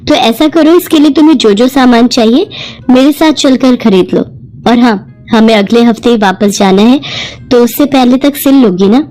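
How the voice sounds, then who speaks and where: A woman voicing a well-off, kindly and enthusiastic married lady, encouraging and expressive, in a cartoon moral story. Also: a little high, normal speed, soft background music, close to an everyday voice, rough recording. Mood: brisk, friendly.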